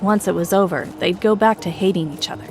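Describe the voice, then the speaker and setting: Woman doing dramatic audiobook-style narration, medium pitch, music under it. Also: fast. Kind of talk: dramatic scripted story narration.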